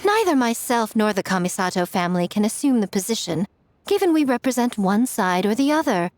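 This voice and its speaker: A woman's voice: mid-range voice, very animated, fairly quick, a little mellow, no echo, faint music behind, lightly acted. A woman voicing a sly, elegant fox-spirit shrine maiden in a video game, coy, smug and playful in a polished manner. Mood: coaxing, teasing.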